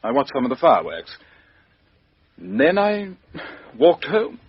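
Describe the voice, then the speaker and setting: A pompous English politician in a radio play. His voice is very husky and swings about in pitch, formal and guarded with stuffy hesitations. The sound is roomy and muffled. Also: wildly swooping pitch, unhurried, exaggerated voice. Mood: affronted, evasive. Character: stuffy, self-important male politician, guarded and easily affronted. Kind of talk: radio drama acting. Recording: roomy, dull lo-fi radio recording.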